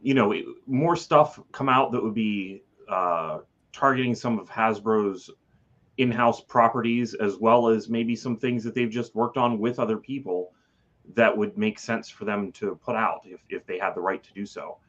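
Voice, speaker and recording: A man's voice: lowish voice, moderate pace, a bit bright, muffled recording. A man co-hosting a podcast, chatting casually with dry, understated humor. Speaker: podcast co-host. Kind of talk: casual conversation.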